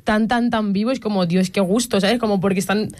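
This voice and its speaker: A woman's voice: mid-range voice, fairly even intonation, fairly quick, darkish tone. A woman hosting a casual chat, enthusiastic and full of questions. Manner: enthusiastic, asks lots of questions.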